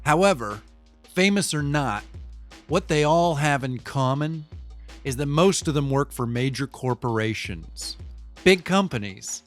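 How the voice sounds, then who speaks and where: A man's voice: a little high, lively intonation, a little slow, soft background music. A man playing a cynical, pragmatic business type in an audio drama monologue, matter-of-fact, opinionated and conversational as he explains things. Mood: explanatory.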